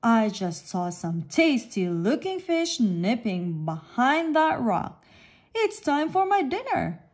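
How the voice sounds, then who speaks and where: A woman's voice: fairly high pitch, big pitch swings, takes it slowly, darkish tone, heavily acted. A woman voicing a sly, hungry, grumpy shark in a storybook, menacing and grumbling. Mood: gloating.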